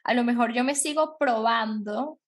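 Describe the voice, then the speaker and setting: A woman hosting a podcast interview, her voice a little higher than most, lively and affirming with quick backchannels. She talks easily and conversationally with her guest. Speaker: podcast host.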